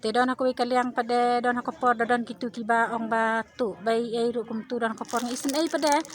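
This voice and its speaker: A woman's voice: on the high side, fairly even intonation, fast talker, background noise. A woman giving casual, unscripted answers in an interview. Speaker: local interviewee.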